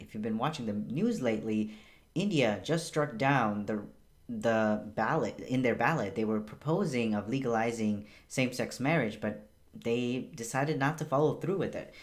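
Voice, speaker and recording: A young man born in Bangladesh, a physician, giving a casual and earnest health explainer from a small room at home. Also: medium pitch. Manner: casual, chatty and earnest, with emphatic repeats. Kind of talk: educational health vlog.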